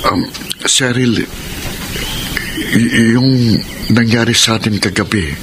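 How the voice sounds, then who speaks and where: An actor plays a conflicted young Filipino man in a radio soap. His medium-pitched voice is earnest and hesitant, with an evasive edge. Noticeable ambient noise sits behind him. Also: slow pace, lightly acted. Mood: guilty, hesitant. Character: conflicted young son and boyfriend, evasive, guilt-ridden. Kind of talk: Filipino radio melodrama acting. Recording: noisy radio drama with ambience.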